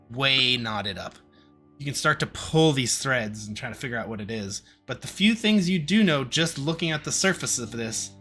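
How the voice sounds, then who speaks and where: A man's voice: medium pitch, bright, forceful tone. A man acting as game master, narrating a tabletop game in a descriptive, conversational way with casual comparisons.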